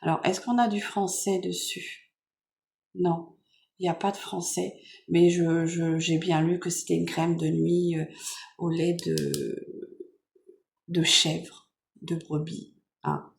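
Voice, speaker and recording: A French woman with a cold, hoarse and nasal, chatting softly and rambling with many fillers as she unboxes gifts at home. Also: fairly low pitch, level tone, takes it slowly, long pauses, slight background noise. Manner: soft-spoken, meandering with many fillers. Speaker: vlogger. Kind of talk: casual chat unboxing makeup gifts.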